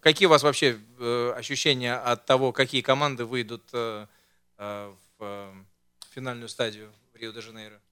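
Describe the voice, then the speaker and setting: A man's voice: medium pitch, fairly even intonation, moderate pace, bright, forceful tone, clear, resonant tone, dry recording. Teasing man in rambling chat. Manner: rambling, teasing, full of fillers. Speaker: host. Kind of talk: casual chat about football.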